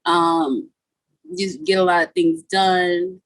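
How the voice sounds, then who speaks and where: A woman's voice: on the low side, steady tone, slow pace, dry recording, rough recording. A young woman from Guyana, a musician guest chatting casually on a video call interview, with a laid-back manner full of "like" and "you know".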